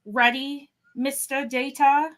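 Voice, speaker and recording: Slow, measured starship captain played by a woman. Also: high-pitched, little pitch movement, fairly bright tone. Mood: measured, commanding. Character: measured, commanding starship captain, probing and composed. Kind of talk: podcast live script reading.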